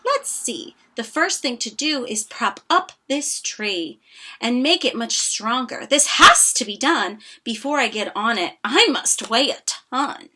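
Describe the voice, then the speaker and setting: Woman playing an earnest, lively storybook elephant. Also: fairly high pitch, measured pace, a little hiss or hum. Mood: earnest, careful. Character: gentle, faithful, earnest huge male elephant. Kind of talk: expressive children's storybook read-aloud.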